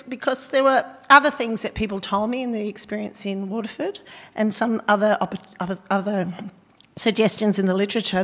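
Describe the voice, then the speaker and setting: An Australian woman with a slightly croaky voice giving a conference talk, thoughtful and halting with fillers and restarts. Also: medium pitch, a bit bright. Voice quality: slightly hoarse. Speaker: conference speaker. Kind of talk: academic presentation. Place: conference hall. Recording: reverberant conference hall.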